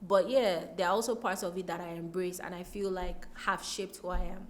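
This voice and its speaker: A woman's voice: on the low side, steady tone, normal speed. A woman with a Nigerian accent talking reflectively as an interview guest, telling things expressively with emphatic repetition.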